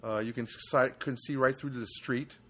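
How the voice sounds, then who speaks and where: A man hosting a casual solo podcast from a studio apartment, rambling and halting with many fillers. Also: mid-range voice, steady tone, fairly bright tone. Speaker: podcast host.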